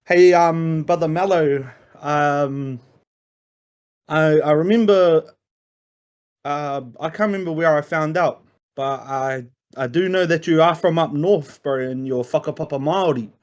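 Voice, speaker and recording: NZ man, slightly high voice, relaxed community caller. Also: measured pace, clean tone. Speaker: community member asking a question. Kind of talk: casual voice chat.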